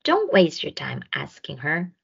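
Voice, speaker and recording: A woman's voice: deep voice, wildly swooping pitch, measured pace, vocal fry, breathy edge, muffled recording, full character voice. A woman voicing a flashy, dismissive parrot who mocks others in a children's story. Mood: scornful. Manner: dismissive, mocking. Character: flashy, scornful, know-it-all green parrot.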